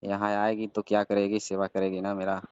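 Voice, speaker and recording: Deep, flat-toned man on an echoey call. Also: brisk, clear, resonant tone. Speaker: caller. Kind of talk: casual flirtatious phone chat. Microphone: phone call. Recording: band-limited phone call recording with echo.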